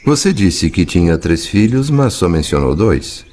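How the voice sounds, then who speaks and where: Compassionate male spirit helper played by a man, low voice with animated melody, solemn and consoling, dramatized audiobook. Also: unhurried, a little hiss or hum.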